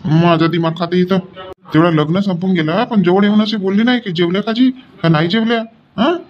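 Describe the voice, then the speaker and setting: Man voicing a high-pitched grumbling husband, muffled recording. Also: brisk, slight background noise, natural voice. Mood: grumbling, aggrieved. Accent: rural Vidarbha Marathi. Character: grumbling, put-upon middle-aged village husband. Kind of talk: homely cartoon comedy dialogue.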